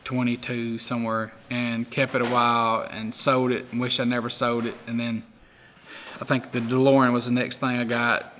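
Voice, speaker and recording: A man in his forties, a car museum owner, talking in a relaxed, rambling way with many fillers in a documentary interview. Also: medium pitch, normal speed, big-room sound, a little hiss or hum. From Tennessee. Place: garage workshop full of memorabilia.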